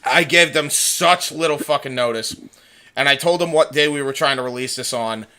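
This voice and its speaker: A man hosting a casual livestream chat, talking in an excitable way and swearing freely. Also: mid-range voice, moderate pace. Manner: profane, excitable. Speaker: livestream host.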